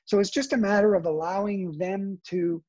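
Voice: medium pitch, fairly even intonation, normal speed, a little mellow, muffled recording. A native Italian speaker who works with a homeschooling organization, answering interview questions thoughtfully, with many fillers and "right?" tags.

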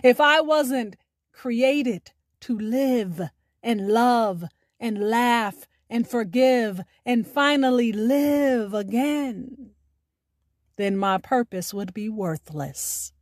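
A woman hosting a radio show, speaking in a deliberate, emphatic way with dramatic pauses, as in scripted spoken word. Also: on the high side, expressive, no echo, studio quality.

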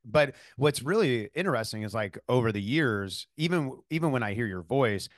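A man's voice: medium pitch, expressive, normal speed, dry recording, studio quality. A man interviewing a guest, asking questions in a careful, hesitant way with many fillers and restarts. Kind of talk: casual interview conversation.

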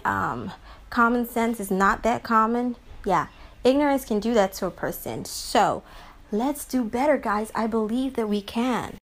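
A young woman chatting informally and peppily to her listeners as a podcast host. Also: a little high. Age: young adult.

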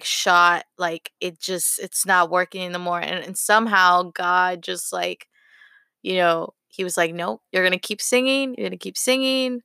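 A woman hosts her own podcast in a slow, earnest ramble full of fillers. Her voice sits a little low and is slightly hoarse, with a gravelly fry under a smooth tone. The recording is dry and close. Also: a bit bright, dry recording. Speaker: podcast host. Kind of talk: casual solo podcast talk.

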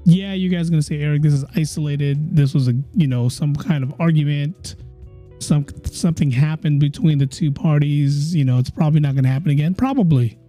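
A man's voice: on the high side, fairly quick, mellow, soft background music. A man hosting his own podcast, chatting casually and repeating phrases for emphasis. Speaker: podcast host.

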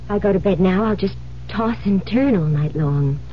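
A woman's voice: mid-range voice, animated, moderate pace, lightly acted. An actress playing a frightened young bride in an old-time radio drama, hesitant and stammering as she speaks. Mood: guarded, uneasy. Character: frightened young bride Jane, uneasy about murderous husband. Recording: muffled vintage radio recording, humming hiss.

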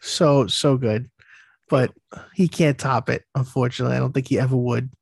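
A man's voice: medium pitch, steady tone, moderate pace, vocal fry, a little mellow, husky, no room sound. A man co-hosting a podcast, bantering in a jokey, playful casual chat. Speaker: podcast co-host.

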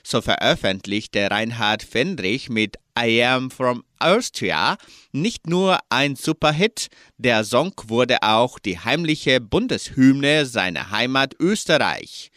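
Slow, lively-toned male radio presenter. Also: mid-range voice, dry recording, studio quality. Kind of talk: scripted radio announcement.

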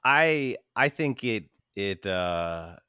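A man's voice: fairly low pitch, expressive, very slow, sharp tone, smooth, no room sound, clean studio sound. A man co-hosting a podcast in casual chat with a wry, offhand manner. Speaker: podcast co-host.

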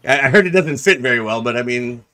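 Bright-voiced young man ribbing friends. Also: medium pitch, fast talker. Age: 20s. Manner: joking, teasing, animated storyteller. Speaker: podcast co-host. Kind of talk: casual group chat.

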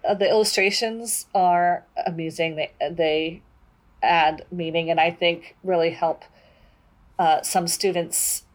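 A woman co-hosting a conversational discussion about books. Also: mid-range voice. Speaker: book discussion podcast co-host.

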